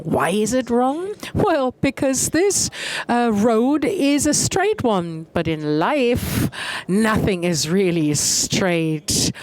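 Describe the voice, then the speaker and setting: A woman interpreting a conference keynote, measured and pausing often with hesitation fillers. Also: mid-range voice, darkish tone.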